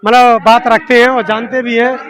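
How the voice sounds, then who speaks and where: High-pitched insistent man interviewing amid a crowd. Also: flat delivery, normal speed. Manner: probing, insistent questioning. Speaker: street interviewer, reporter. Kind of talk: informal political vox-pop interviewing. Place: outdoor public ground among a crowd. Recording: loud crowd around an outdoor microphone.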